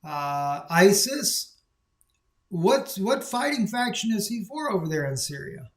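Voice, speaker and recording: A man giving rambling, opinionated solo commentary on the news, emphatic and full of fillers. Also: high-pitched. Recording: echoey room recording.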